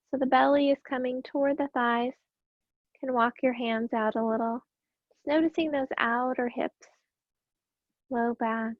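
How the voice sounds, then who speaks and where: Raspy-voiced woman calmly guiding yoga. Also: sits high, no echo, muffled recording. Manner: calm, gentle cueing with breath. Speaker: yoga teacher. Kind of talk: guided yoga class instruction.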